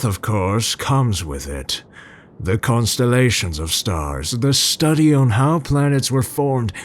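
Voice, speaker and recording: A man playing a tender, scholarly scientist in an intimate ASMR roleplay, speaking formally and haltingly. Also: fairly low pitch, a little hiss or hum. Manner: halting, formal, professorial, hesitant pauses. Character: tender, scholarly Dutch male scientist, brooding but gentle.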